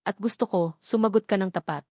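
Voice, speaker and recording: A woman voicing a calm, stern male boss who speaks low and controlled, in a story narrated by text-to-speech. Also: fast, no echo, studio quality. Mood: serious.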